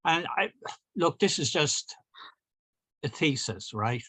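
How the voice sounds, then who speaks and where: A man's voice: a little high, expressive, slow, bright, forceful tone, husky, dry recording. A man giving an informal, thoughtful answer as a guest speaker, rephrasing as he explains.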